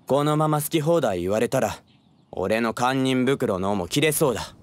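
An actor playing a wary, indignant young townsman in a game, speaking bluntly and roughly. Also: medium pitch, animated, moderate pace, lightly acted. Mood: indignant, scornful. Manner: blunt, rough casual speech. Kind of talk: Japanese game voice acting.

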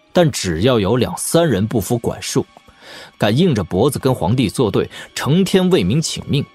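Sardonic young warlord over music. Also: male voice, lowish voice, darkish tone, breathy edge. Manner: wry, expounding at length, with snorts and sighs. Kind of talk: Chinese martial-arts audio drama acting. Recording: music behind. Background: background music.

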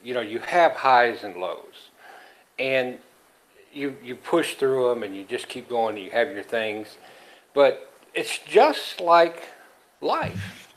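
A man's voice: mid-range voice, big pitch swings, slow, poor audio. A middle-aged man co-hosting a casual podcast over a video call, easygoing and folksy, rambling as he talks. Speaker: podcast co-host. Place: small room indoors. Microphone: headset on a video call.

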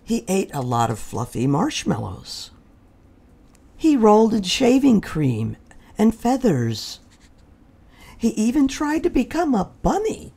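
A woman reading a children's story aloud in an expressive way, with deliberate dramatic pauses. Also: mid-range voice, vocal fry, breathy edge. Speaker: storybook reader.

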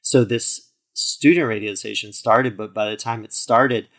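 A man's voice: on the low side, a little slow, fairly bright tone. A man in his forties, a podcast guest, telling his story fluently with frequent "you know" fillers. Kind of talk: interview conversation.

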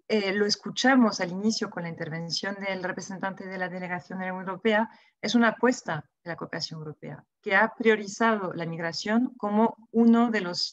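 A woman in her forties from France, speaking Spanish with a French accent, giving measured, formal remarks over a video call. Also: medium pitch, dry recording. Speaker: seminar speaker. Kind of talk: formal closing remarks at an online seminar. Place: small indoor room. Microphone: wired earphone mic on a video call. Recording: dull, lo-fi video call audio.

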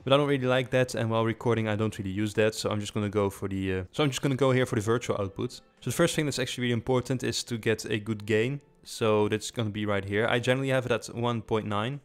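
A man with a low voice giving a casual software tutorial, close on a desktop mic with a pop filter, explaining quickly with filler words. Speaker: tutorial host. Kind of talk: screen-recorded software tutorial. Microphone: desktop USB condenser mic with foam and pop filter.

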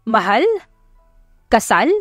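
A woman's voice: medium pitch, slow pace, a bit bright, dry recording, studio quality. A woman voicing a cruel, deceitful husband in an audio love drama, scornful and taunting. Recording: music behind the voice.

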